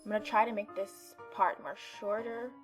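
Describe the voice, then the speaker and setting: A woman's voice: mid-range voice, steady tone, moderate pace, over music. Young woman gamer, casual and offhand. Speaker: gaming YouTuber. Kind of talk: casual let's play with reading aloud.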